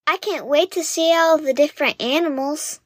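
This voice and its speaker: A woman's voice: sits high, normal speed, no room sound, clean studio sound, pitched above the performer's own voice, natural voice. A woman voicing an eager, childlike young animal cub in a children's story. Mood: excited. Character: excitable young wild animal cubs.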